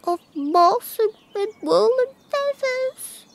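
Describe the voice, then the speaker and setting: A woman's voice: very high-pitched, lively intonation, slow, vocal fry, slight background noise, voice pushed up, heavily acted. A woman voicing a tiny, wistful female blue tit in a children's story, plaintive and faltering. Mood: tearful longing.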